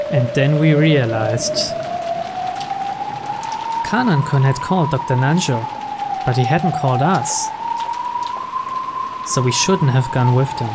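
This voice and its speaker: A man's voice: mid-range voice, heavy background noise. A man reading scripted story narration from a game.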